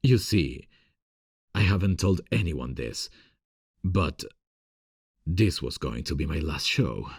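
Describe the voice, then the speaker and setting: Man acting as very deep-voiced, smitten theatre director. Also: gravelly, husky, dry recording, studio quality, heavily acted. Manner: tender, hesitant, confiding. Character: smitten male theatre director, earnest, gentle, passionate. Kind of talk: intimate ASMR romantic audio roleplay.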